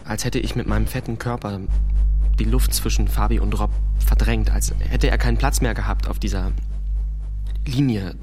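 A troubled teenage boy with a lowish voice played by a young man, telling things in a halting, earnest way as in a radio drama. Music plays clearly behind him. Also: fairly even intonation, lightly acted. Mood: earnest, haunted. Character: troubled fourteen-year-old boy recounting his brother's death. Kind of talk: German radio play acting.

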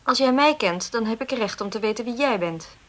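Actress as wary, indignant young woman, high-pitched. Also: lively intonation, fairly quick, lightly acted. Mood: alarmed, wary. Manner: curt, challenging. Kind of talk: radio play dialogue.

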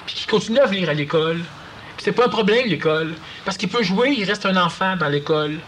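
Animated high-voiced Québécois lecturer. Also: male voice, quick pace, slight background noise, rough recording. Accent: Quebec French. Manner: animated, acts out quoted voices. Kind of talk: conversational talk to an audience.

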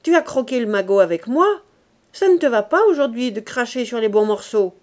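A woman's voice: on the high side, animated, brisk, natural voice. A woman voicing a young, irritable, brusque man who snaps and dismisses. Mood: scornful. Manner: snappish, loud, dismissive.

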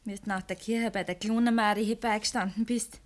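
An actress playing a jealous, coquettish young farmer's daughter in a radio drama, talking in Bavarian dialect with a probing, insistent manner. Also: female voice, mid-range voice, fairly even intonation, brisk, close to an everyday voice. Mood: jealous, accusing.

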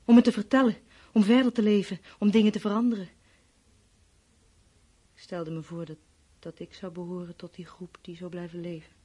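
A woman's voice: lowish voice, little pitch movement, brisk, mellow, breathy edge. A woman acting in a radio play as a weary, fearful, brooding survivor of a world war's collapse. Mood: resigned, drained.

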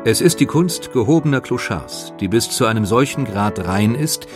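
A man reading scripted biographical narration in a measured, composed manner. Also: mid-range voice, lively intonation. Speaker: documentary narrator. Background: music.

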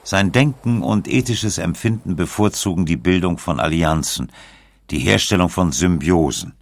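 A man narrates a scripted documentary in a very low voice with plenty of gravel. He tells it in a measured way, and the sound is dry and close. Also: no echo.

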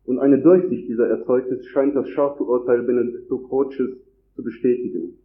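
A man's voice: medium pitch, steady tone. Man with a cold lecturing in an echoey hall. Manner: measured, formal reading with long complex sentences. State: has a cold. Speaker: conference lecturer. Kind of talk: academic lecture read from a script. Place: conference hall. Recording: echoey hall over a tinny, phone-like line.

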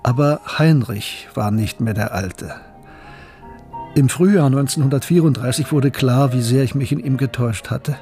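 Give me an actor as a German academic narrator, music behind. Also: male voice, medium pitch, normal speed, dark tone, natural voice. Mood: reflective, grave. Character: German academic named Gustav, reflective narrator, hot-headed in argument. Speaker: narrator and character in radio drama. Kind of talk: dramatic first-person narration.

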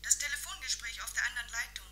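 A woman's voice: a little high, very fast, heavy background noise, natural voice. A woman playing an efficient, businesslike telephone operator in a 1950s radio crime drama. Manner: clipped, businesslike. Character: brisk, efficient female telephone switchboard operator.

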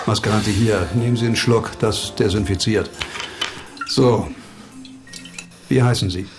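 Measured, authoritative male investigator. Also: fairly low pitch, over loud music. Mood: commanding. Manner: measured, authoritative, dryly condescending. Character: shrewd, controlled male investigator questioning suspects. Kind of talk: German radio crime drama acting.

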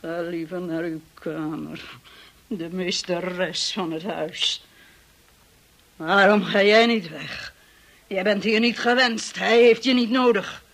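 An actress playing a hostile, obsessive housekeeper in a radio drama, speaking with slow, menacing contempt. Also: female voice, fairly low pitch, steady tone, fairly bright tone, exaggerated voice. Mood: obsessive, bitter. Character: hostile, obsessive, grieving housekeeper, a grown woman.

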